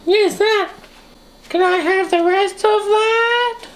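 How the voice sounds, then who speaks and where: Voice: very high voice, slow, exaggerated voice, poor audio. A performer voicing a friendly little puppet creature for toddlers, babyish and cheerful in a sing-song manner, with music playing. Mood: bright, friendly. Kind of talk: improvised puppet show for toddlers.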